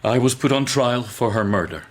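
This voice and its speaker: Proud, secretive gentleman played by a man. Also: mid-range voice, animated, moderate pace, close to an everyday voice. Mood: bitter, resigned. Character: secretive, bitter, proud Victorian gentleman husband. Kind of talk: period radio drama acting.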